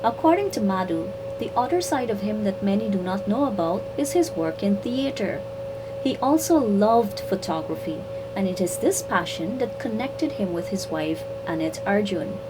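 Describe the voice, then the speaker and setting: A woman reporter reading a scripted news story in a measured, formal way. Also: mid-range voice, expressive, heavy background noise. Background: music bed.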